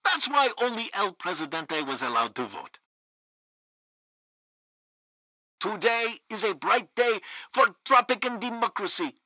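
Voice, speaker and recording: An actor playing a zealous, loyal regime radio host in a comedic video game, with a pompous, theatrical delivery. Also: male voice, high-pitched, sing-song, normal speed, pushed and bright, no room sound, full character voice. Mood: cheerful, assured. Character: zealous loyal propaganda radio host, male, banana-republic sycophant.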